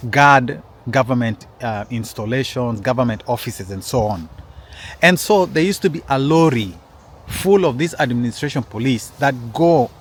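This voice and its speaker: A man's voice: medium pitch, a little hiss or hum. A man telling a personal story in a casual, rambling way, with frequent restarts and asides to his listeners. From Kenya.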